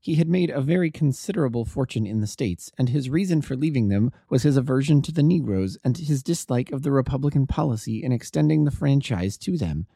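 A man reading the part of an anxious, refined young gentleman in his early twenties, earnest and polite, in an audiobook. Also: mid-range voice, animated, a little fast, vocal fry, hardly any pauses, slightly rough, dry recording, clean studio sound. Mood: earnest, explaining. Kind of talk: audiobook character dialogue.

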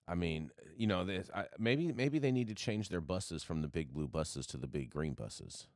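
A man's voice: deep voice, lively intonation, normal speed, dry recording, clean studio sound. A man hosting a casual cannabis news show, joking with the panel and hyping the viewers. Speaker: show host.

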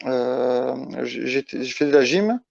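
Man with creaky, mellow voice, roomy sound. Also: medium pitch, lively intonation, measured pace. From Italy. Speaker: interview guest, ultra-trail runner. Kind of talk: casual interview conversation. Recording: dull lo-fi, roomy echo.

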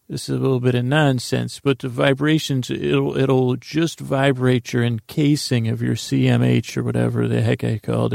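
A man hosting a sleep podcast, rambling through a halting bedtime monologue full of restarts and drawn-out pauses. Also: mid-range voice, vocal fry, a little mellow, dry recording, studio quality.

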